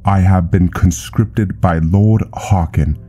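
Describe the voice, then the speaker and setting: A man playing a stern, honor-bound vampire knight officer in a game roleplay, speaking in a formal, solemn, measured way. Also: low voice, fairly even intonation, warm tone, faint music behind. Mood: stern, commanding. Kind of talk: scripted roleplay narration and game dialogue.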